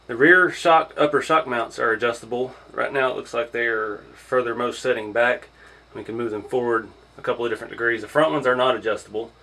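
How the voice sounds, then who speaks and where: Regular guy, mid pitch, hobby review vlog, easygoing and thinking out loud. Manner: informal, thinking aloud, chuckles often. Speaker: hobby vlogger reviewing an RC truck. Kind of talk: casual hands-on product first look.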